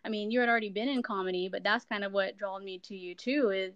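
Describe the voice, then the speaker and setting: Female podcast host and fitness coach, mid-pitched, warm encouragement, dry close recording. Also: steady tone, fast, clean tone, no room sound, highs cut off. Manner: encouraging, affectionate. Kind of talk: casual podcast interview.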